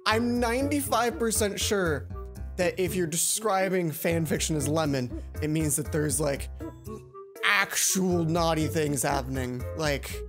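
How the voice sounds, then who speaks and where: A woman doing casual game commentary and reading a visual novel aloud, chatty and self-deprecating, reacting out loud to the story. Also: low voice, measured pace. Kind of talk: casual game commentary and narration with character voices. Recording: music behind the voice. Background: game music.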